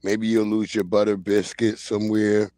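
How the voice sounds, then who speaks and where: A man's voice: on the low side, normal speed, no room sound. Forty-something man ranting bluntly, dry sound. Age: 40s. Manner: animated, blunt, slangy ranting. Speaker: livestream host. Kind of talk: casual commentary livestream. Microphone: streaming mic.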